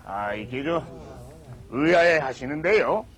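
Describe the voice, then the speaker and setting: A man on a comedy stage giving a mock lecture, drawing out his words slowly for emphasis. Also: a little high, expressive, fairly bright tone. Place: stage with live audience.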